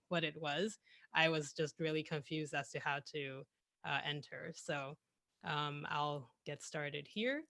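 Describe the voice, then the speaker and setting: A woman's voice: deep voice, pushed and bright, no echo. A young woman presenting her career over a video call, chatty and self-correcting, often laughing between phrases. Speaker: guest speaker. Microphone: headset on a video call.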